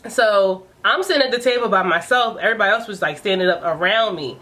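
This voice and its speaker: A woman in her late twenties telling a personal story in a casual vlog, chatty and animated with frequent laughs. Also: medium pitch, fast. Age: 20s. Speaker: vlogger.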